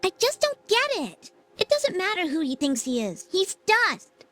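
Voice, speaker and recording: Cute sassy lil fox critter for a game, high voice, swoops all over. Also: female voice, huge pitch swings, moderate pace, fairly bright tone, soft background music, full character voice. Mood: earnest. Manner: sassy, emphatic, emotional. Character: feisty small female flying fox-like companion creature. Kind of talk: video game voice acting.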